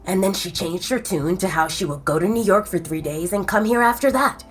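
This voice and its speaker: A woman's voice: lowish voice, brisk, slightly rough, faint music behind, rough recording. A woman voicing a stern, exasperated motherly older she-wolf in an audio drama. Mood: displeased, stern.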